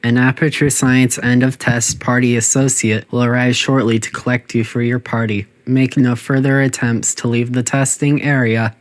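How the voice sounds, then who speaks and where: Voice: medium pitch, flat delivery, moderate pace, few pauses, close to an everyday voice, low-quality recording. A performer voicing a polite but menacing artificial intelligence that runs test chambers, making formal, clinical, passive-aggressive announcements in a video game. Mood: cold, threatening. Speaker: facility announcer.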